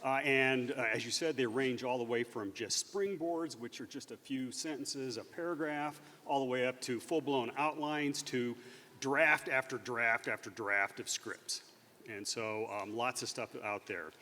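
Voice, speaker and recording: A man's voice: mid-range voice, steady tone, a little slow, pushed and bright. A male panelist with a mid-range voice explains from a stage in a reverberant hall. His delivery is hesitant and full of small qualifying asides. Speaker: author and panelist. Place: convention stage before an audience. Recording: echoey hall, stage sound system.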